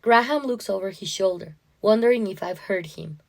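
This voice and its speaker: A woman's voice: mid-range voice, moderate pace, gravelly. Female podcast host and story reader. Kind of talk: casual chat and story narration.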